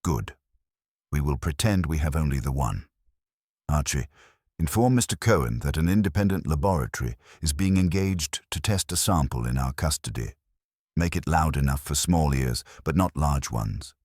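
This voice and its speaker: A man's voice: a bass voice, lively intonation, moderate pace, darkish tone, husky, dry recording, clean studio sound, full character voice. A man narrating an audiobook as a pompous, commanding older detective with formal, pronouncing speech. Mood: calculating, commanding.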